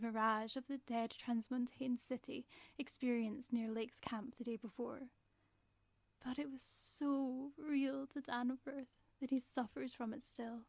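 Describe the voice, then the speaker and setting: An actress playing a haunted woman professor who solemnly narrates a grave warning in a 1930s radio drama, with formal, deliberate phrasing. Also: fairly high pitch, no room sound.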